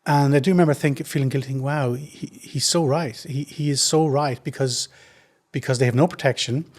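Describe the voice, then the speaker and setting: A man with an Irish accent, a trauma surgeon, answering interview questions in an earnest, measured way with frequent restarts. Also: mid-range voice, fairly even intonation, darkish tone. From Ireland.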